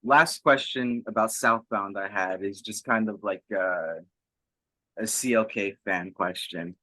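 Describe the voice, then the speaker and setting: A man conducting a thoughtful interview, asking long, reflective questions in a measured way. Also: on the low side, pushed and bright, dry recording, rough recording.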